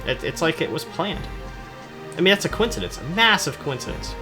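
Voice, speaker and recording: Male gamer, lively swooping intonation, mid-pitched, sarcastic, self-deprecating, casual rambling commentary, clearly audible music behind. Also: a little fast. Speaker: gaming video host.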